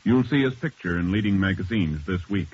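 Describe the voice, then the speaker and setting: A man announcing in the formal, measured style of a 1950s radio show with a scripted sponsor message. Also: deep voice, animated, a little mellow, slightly rough. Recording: muffled old radio recording, faint hiss.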